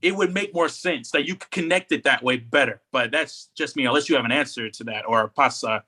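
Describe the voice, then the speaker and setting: Bright-voiced man chatting casually about opinions. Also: mid-range voice, fairly quick. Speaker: podcast co-host. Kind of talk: casual pop culture chat.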